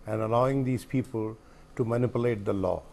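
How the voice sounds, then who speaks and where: A man with an Indian accent commenting as a journalist on a studio debate panel, measured and emphatic. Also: medium pitch, creaky, dark tone.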